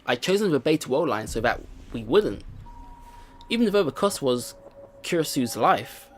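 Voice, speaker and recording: A man reads visual novel narration aloud as a let's player. His mid-pitched voice moves up and down in a lively way, with game music playing softly underneath. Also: normal speed.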